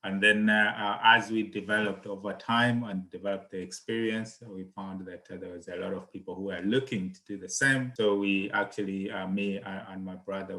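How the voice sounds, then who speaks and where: Interview guest: man from Malawi, middle-aged, lowish bright voice, halting delivery with fillers. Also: low-quality recording. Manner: hesitant, many fillers and restarts. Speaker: guest, accountant and property investor. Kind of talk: conversational interview.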